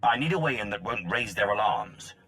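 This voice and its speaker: An actor playing a stern, driven mercenary fighter in a video game, terse and commanding. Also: male voice, on the low side, animated, brisk, pushed and bright, poor audio. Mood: businesslike, commanding. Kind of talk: video game cutscene acting.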